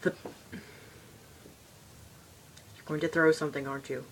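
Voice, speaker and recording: A haughty male alien troll played by a woman reads a storybook in a slightly low, stilted voice. He is easily irritated and given to muttered, comic asides. The amateur recording is a little noisy, with clicks. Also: flat delivery, measured pace, vocal fry. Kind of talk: comedic in-character storybook reading.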